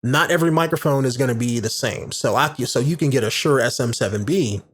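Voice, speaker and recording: A man hosting a podcast in a casual, rambling monologue with a warm, medium-range voice, full of fillers and restarts, recorded on a studio condenser microphone. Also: medium pitch, fairly quick. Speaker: podcast host. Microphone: large studio condenser microphone.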